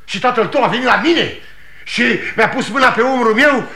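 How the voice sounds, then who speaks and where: A man's voice: very high voice, bright, forceful tone. An actor playing an ageing, proud, desperate travelling salesman in a 1960 Romanian radio drama, pleading insistently and repeating himself. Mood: agitated, indignant. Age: older. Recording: vintage 1960 radio studio recording.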